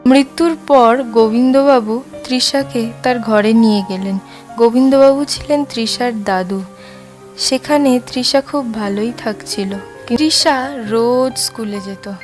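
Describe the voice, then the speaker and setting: Female storyteller for scripted cartoon narration, higher register, light music underneath. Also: darkish tone.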